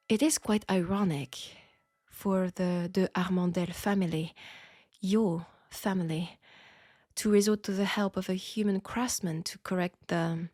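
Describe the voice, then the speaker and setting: A woman's voice: mid-range voice, a little mellow, no room sound, clean studio sound. A woman with a French accent playing a polite, formal, self-assured seamstress in a steampunk audio roleplay drama. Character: proud, outspoken, formal young seamstress in steampunk Paris.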